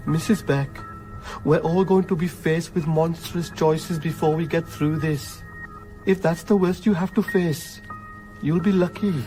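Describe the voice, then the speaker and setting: An actor playing a blunt, formal funeral official in a radio drama, measured and matter-of-fact. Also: male voice, on the high side, fairly even intonation, over music, lightly acted, rough recording. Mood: stern. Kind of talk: naturalistic radio drama acting.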